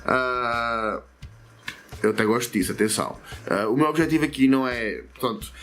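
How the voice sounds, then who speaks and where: A man's voice: mid-range voice, moderate pace, a bit bright. Portuguese man reading a comic aloud. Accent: European Portuguese. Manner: chatty, digressive, frequent fillers and self-corrections. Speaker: history channel host.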